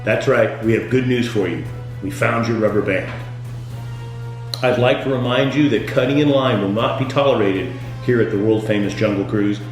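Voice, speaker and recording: A man delivering scripted comedic tour-guide patter as a boat skipper, with deadpan, punning jokes. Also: fairly low pitch, moderate pace. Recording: very echoey room, music underneath.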